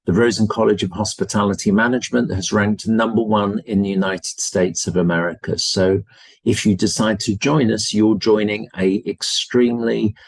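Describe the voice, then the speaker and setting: A man's voice: fairly low pitch, steady tone, normal speed, creaky, dry recording. A man with a British English accent, a university representative giving a polite, enthusiastic webinar presentation over a video call. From United Kingdom.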